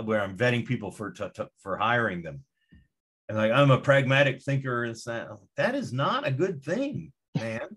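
A man with a husky, breathy edge to his voice, talking casually on a podcast. He rants with emphatic, profane energy and hammers his points home. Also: fairly low pitch, a bit bright. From Indonesia, to American parents. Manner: emphatic, profane, fired-up ranting.

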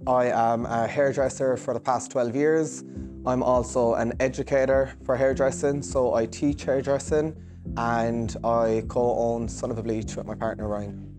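An earnest young man tells his story in a reassuring, sincere way, pausing now and then on a filler. His voice sits at an ordinary middle pitch, picked up close on a lapel mic. Soft music plays faintly underneath. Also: level tone. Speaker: hairdresser giving a clinic testimonial. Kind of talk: interview testimonial. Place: hair salon, indoors.

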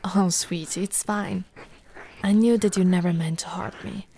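A woman playing an affectionate, teasing lamia girlfriend in an ASMR roleplay, soft and cooing, often slipping into whispers. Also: on the low side, fairly even intonation, normal speed, vocal fry, slight background noise. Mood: soothing, tender.

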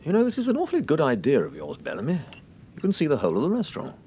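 An actor with a British accent playing an urbane, composed gentleman detective in a radio drama, polite, clipped and assured. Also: huge pitch swings, rapid-fire, highs cut off. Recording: rumbly, noisy old radio recording.

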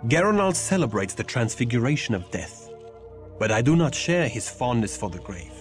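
A man's voice: mid-range voice, very animated, moderate pace, natural voice. Man playing a solemn medieval vampire mentor over music. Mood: grave. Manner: solemn archaic speech. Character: grave, stern medieval male vampire mentor-warrior. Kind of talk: theatrical video game acting.

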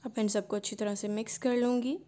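A woman, hosting a cooking channel and calmly narrating a recipe step by step. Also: on the high side, fast talker. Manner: calm step-by-step instruction.

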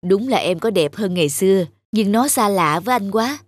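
A man voicing a devoted, gently regretful husband in a cartoon, soft and sincere. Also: high voice, animated, moderate pace, no room sound. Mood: tender, regretful. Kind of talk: Vietnamese cartoon voice acting.

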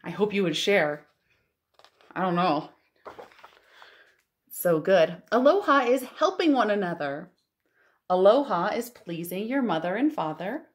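A woman in her thirties hosting a storytime for young children, reading aloud and chatting in an expressive, exclamatory way. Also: medium pitch, unhurried, pauses often. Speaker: children's librarian and storytime host. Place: living room at home.

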